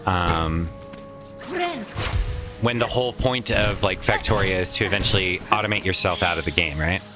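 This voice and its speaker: A man's voice: deep voice, moderate pace, over music. A man casually commenting while playing a video game, chatty and rambling with some swearing, his voice tired from a lot of recent use. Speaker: let's play host.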